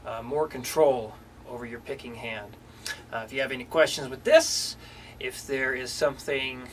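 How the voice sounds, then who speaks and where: A man giving a casual guitar lesson, relaxed, with fillers and restarts. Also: mid-range voice, distant mic, a little hiss or hum. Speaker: guitar teacher. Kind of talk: casual instructional talk. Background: guitar playing.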